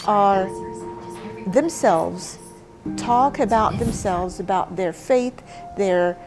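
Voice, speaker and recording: A woman from Morocco giving a personal interview as the founder of an organization. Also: medium pitch. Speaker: founder and chairwoman of a women's organization. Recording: clear music behind the voice. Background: background music.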